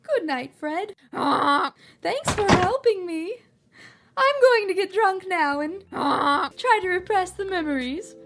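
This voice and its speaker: A woman voicing a traumatized, weepy lady swan, halting and shrill, in a comic audio drama. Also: animated, a bit bright, full character voice. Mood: miserable, shaky. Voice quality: creaky, shrill. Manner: halting, whimpering. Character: traumatized, weepy female swan, boozy and frazzled.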